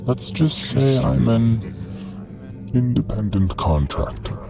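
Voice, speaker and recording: A man playing a sly, polite monster who offers deadly bargains in a coaxing, smooth-talking horror audio drama delivery. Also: medium pitch, slow pace. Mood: slyly amused. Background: music.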